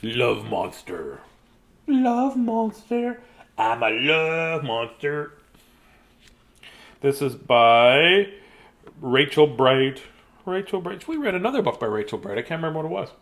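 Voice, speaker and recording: Animated man reading bedtime stories, highish voice. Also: slow, pauses often. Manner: playful, childlike, chatty. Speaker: children's storyteller. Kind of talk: animated bedtime picture-book reading with casual asides. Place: small decorated room indoors.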